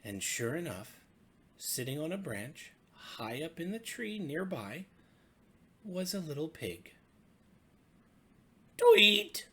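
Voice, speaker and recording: A woman reading a children's bedtime story with expressive, dramatic, deliberate phrasing. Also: low voice, slight background noise. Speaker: storybook reader.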